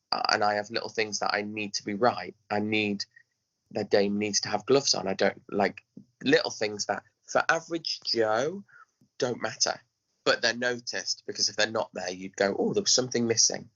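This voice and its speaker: Bright, forceful Englishman in casual interview. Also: on the low side, moderate pace, muffled recording. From England. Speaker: podcast guest, pantomime director.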